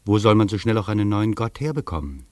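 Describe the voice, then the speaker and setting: A reflective traveller telling his own story in a literary radio drama. His voice sits fairly low and is recorded dry and close. The delivery is sober and measured. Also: big pitch swings, darkish tone, dry recording. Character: reflective male traveller recounting his stay in postwar Tokyo. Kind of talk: scripted literary radio play narration.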